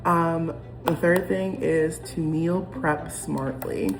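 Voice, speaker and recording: A woman's voice: low voice, slow pace, slight background noise, low-quality recording. A young woman vlogging in a casual, rambling, reflective way, with lots of ums and restarts as she talks to camera. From United States. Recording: music playing behind.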